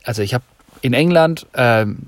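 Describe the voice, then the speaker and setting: A man's voice: medium pitch, lively intonation, moderate pace, no room sound. A young man hosting a podcast, talking freely and emphatically, repeating points to drive them home. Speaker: podcast host. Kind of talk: unscripted motivational monologue.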